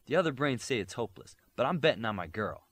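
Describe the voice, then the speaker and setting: Man acting a bold young superheroine, pitched up. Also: big pitch swings, quick pace, fairly bright tone. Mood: hopeful.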